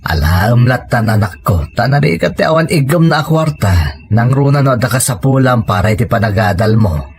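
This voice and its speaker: A man's voice: mid-range voice, expressive, brisk, husky. A man acting as a hard-working rural Filipino father in an audio drama. His voice sits in a middle register, and he speaks simply and earnestly, with devotion to his family. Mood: tender.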